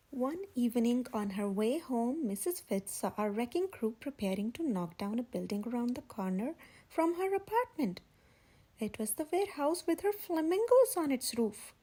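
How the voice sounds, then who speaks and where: A woman reading a children's picture book aloud in a measured, expressive storytelling manner. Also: high-pitched. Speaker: children's story channel host and reader. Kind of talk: scripted read-aloud narration of a picture book.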